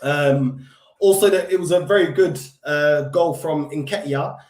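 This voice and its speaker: A man's voice: a little high, measured pace, darkish tone. Frustrated London man in an echoey room. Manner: frustrated, emphatic, repeats himself with many fillers and restarts. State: recovering from illness, voice not at full strength. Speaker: football fan channel host. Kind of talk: solo fan reaction commentary.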